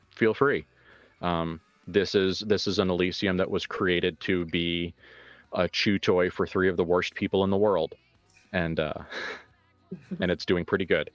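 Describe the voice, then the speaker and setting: Male game master, deep register, casual reflective talk with halting emphasis, dull recording with soft music underneath. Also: no room sound. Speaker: game master and writer of an actual-play show. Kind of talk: casual reflective discussion, answering questions.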